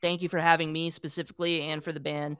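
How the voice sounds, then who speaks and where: A man's voice: on the high side, little pitch movement, quick pace, bright, forceful tone, clear, resonant tone. A man appearing as a guest on a podcast, trading jokey, friendly goodbyes. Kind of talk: casual podcast chat.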